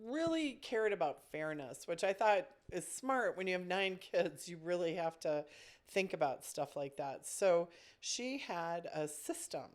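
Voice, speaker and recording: A woman's voice: lowish voice, expressive, a little slow. A woman with a head cold, chatting casually and in a rambling way as a podcast co-host. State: congested with a cold. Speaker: podcast co-host, camp director. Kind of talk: casual chat.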